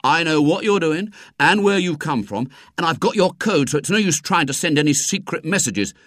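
A man's voice: mid-range voice, big pitch swings, moderate pace, a bit bright, no echo, clean studio sound, pitched above the performer's own voice. A man voicing a small, self-important boy who fancies himself a spy, in a storybook reading. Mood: darkly boastful. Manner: darkly boastful, self-important. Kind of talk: acted dialogue in storybook reading.

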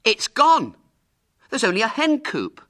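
A man voicing an excitable, mischievous schoolboy who calls out loudly in a children's story. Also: high voice, a little fast, voice pushed up. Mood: mock horror. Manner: calling out loudly. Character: excitable, mischievous schoolboy playing along with a prank.

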